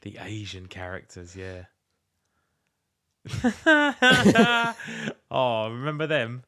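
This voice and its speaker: A man's voice: medium pitch, wildly swooping pitch, extremely slow, pushed and bright. A British man chatting casually as a podcast co-host, in a blokey, rambling, sweary way. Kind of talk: casual chat.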